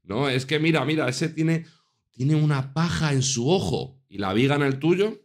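A man's voice: a little high, moderate pace, slight background noise. A man in his thirties giving emphatic, indignant reaction commentary into a desk microphone in a small room. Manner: indignant, emphatic, rhetorical questions. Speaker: commentary youtuber. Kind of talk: reaction commentary over a TV clip. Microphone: desk microphone on a stand.

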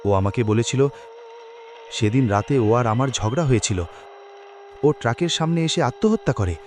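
A man's voice: medium pitch, animated, normal speed, a little mellow, a little hiss or hum, lightly acted. A man playing a bewildered, pleading young man in a dramatic cartoon story. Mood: shocked. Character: bewildered, anxious young man haunted by lost love.

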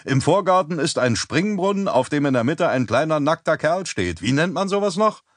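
A man's voice: big pitch swings, moderate pace, no room sound, clean studio sound. A man voicing a jovial, heavyset middle-aged male crime boss with an effusive, hearty manner. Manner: effusive, booming, back-slapping.

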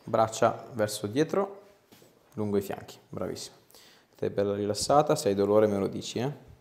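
A man, reassuring and informal as he gives a patient gentle instructions. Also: on the low side, normal speed, vocal fry. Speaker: chiropractor. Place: small clinic treatment room. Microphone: clip-on lapel mic.

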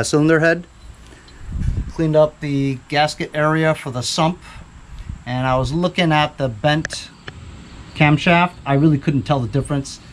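Casting: male DIY repair vlogger, slightly high voice, informal rambling delivery, faint garage background noise. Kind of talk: casual chat while showing repair work. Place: cluttered home garage with open door.

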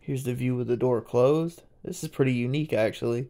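A young man, narrating a casual, excited walkthrough of an abandoned house. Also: medium pitch, fairly quick. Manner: excited, marveling, chatty. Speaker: urban explorer vlogger. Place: inside an abandoned old house.

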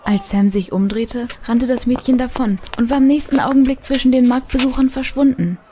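A woman's voice: on the high side, brisk, warm tone, natural voice. A woman narrating a children's audio drama as a scripted storyteller. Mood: storytelling. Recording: slightly dull recording.

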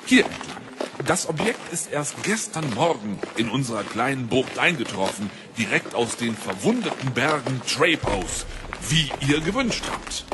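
A man playing a smooth-talking, servile trader in a fantasy audio drama, with hesitant, ingratiating salesman patter. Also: medium pitch, sing-song, moderate pace, background noise, lightly acted. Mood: deferential.

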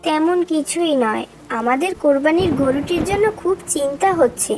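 A woman's voice: high voice, animated, moderate pace, background noise. A woman acting a young girl in a cartoon, a caring elder sister with an earnest, concerned manner. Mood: worried.

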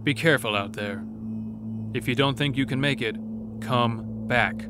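A man's voice: medium pitch, measured pace, background noise, lightly acted. An actor playing a practical, cautious man taking charge in a horror audio drama. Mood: grave, practical.

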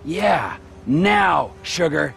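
An actor playing a volatile, sarcastic middle-aged armed robber in a video game cutscene, mocking and profane. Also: male voice, a little high, expressive, very slow, creaky, fairly bright tone, husky, soft background music, heavily acted. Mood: sardonic.

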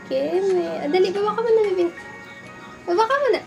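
A woman's voice: very high-pitched, fast talker, vocal fry, darkish tone, faint music behind. Giggly young woman cooking-vlog host. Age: young adult. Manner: chatty, giggly, polite and playful. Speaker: cooking vlogger. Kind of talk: casual cooking vlog chat. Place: dark indoor room, seated at a table.

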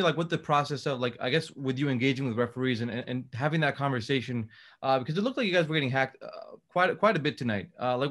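A man asking questions as a reporter at a postgame press conference, talking quickly and peppering it with "like". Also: mid-range voice. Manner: rapid, peppered with "like".

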